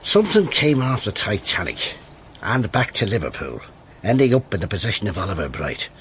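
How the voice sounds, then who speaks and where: A wry detective inspector with a deep voice that swings widely in pitch. He is blunt and dry, in radio drama style, over faint background noise. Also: sing-song, brisk, fairly bright tone. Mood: wry, confiding.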